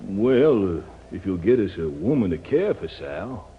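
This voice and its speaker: A good-hearted, simple gold miner from a 1950s radio drama, folksy and ungrammatical in a rustic Old West American accent. He is unhurried and husky, excitable by temper. The old recording is narrow and muffled. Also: low voice, exaggerated voice. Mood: sheepish, hesitant.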